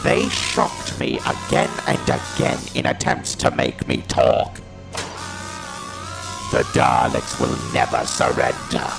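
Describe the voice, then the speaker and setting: A man's voice: extremely low pitch, wildly swooping pitch, slow, vocal fry, over music, exaggerated voice. A man playing a ruthless, defiant alien war machine in an audio drama, with staccato, menacing delivery and robotic modulation. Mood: cold, defiant.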